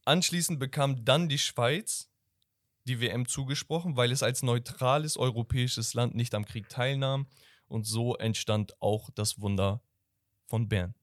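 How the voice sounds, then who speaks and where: A young man co-hosting a casual football podcast, laughing often and telling stories in quick bursts. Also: medium pitch, no room sound, clean studio sound. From Turkish background. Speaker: podcast co-host.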